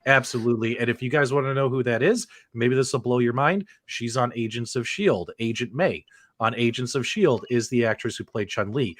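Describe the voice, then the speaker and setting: A man's voice: medium pitch, fairly quick, no echo, studio quality. A man hosting a casual panel show with emphatic, playful, teasing banter. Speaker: host of a video game movie show.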